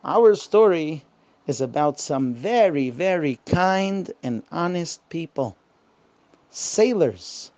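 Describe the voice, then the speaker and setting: A woman's voice: deep voice, mellow, highs cut off. A woman telling a children's bedtime story in simple phrases, repeating words for emphasis.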